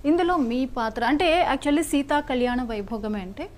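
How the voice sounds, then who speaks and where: A woman's voice: high voice, normal speed, a little hiss or hum. A woman with a Telugu accent asking questions casually as a film interviewer.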